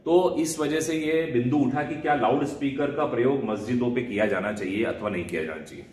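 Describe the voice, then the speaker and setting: A man's voice: medium pitch, brisk, a little mellow. Indian man lecturing in an echoey room. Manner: didactic, restating terms in English and Hindi. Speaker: law lecturer. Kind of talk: explanatory video lecture. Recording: echoey room, faint background noise.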